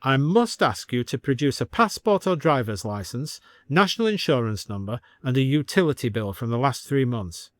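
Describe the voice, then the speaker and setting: A man's voice: medium pitch, sing-song, moderate pace, few pauses, no room sound, studio quality, lightly acted. Man voicing a stiff, officious village constable. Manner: formal, officious.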